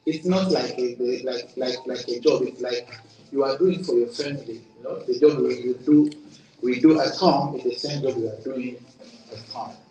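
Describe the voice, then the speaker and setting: A man giving a testimonial interview about a career program, speaking earnestly in halting phrases that repeat words. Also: medium pitch, mellow. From Congo. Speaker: program participant. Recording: roomy sound from a distant mic.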